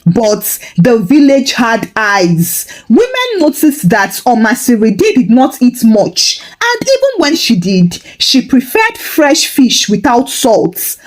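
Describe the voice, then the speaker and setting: A woman reads a scripted folktale as its storyteller. Her voice sits a little high and moves expressively up and down, giving the narration a dramatic shape. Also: wildly swooping pitch, takes it slowly, few pauses.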